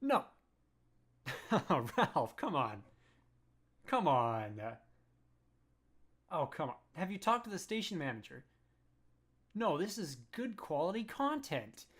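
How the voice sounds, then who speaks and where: A woman speaks in a defensive, flustered way, backpedalling and stammering. Her pitch moves a lot. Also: big pitch swings, slow pace, long pauses, husky.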